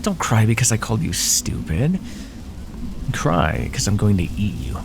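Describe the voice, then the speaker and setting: A man's voice: fairly low pitch, big pitch swings, unhurried, gravelly, noisy background, exaggerated voice. A man voicing a smug, predatory naga who teases and threatens with mocking condescension in an ASMR fantasy roleplay. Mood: mocking amusement. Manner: mocking, condescending, drawling pet names. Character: smug predatory male naga, teasing and menacing.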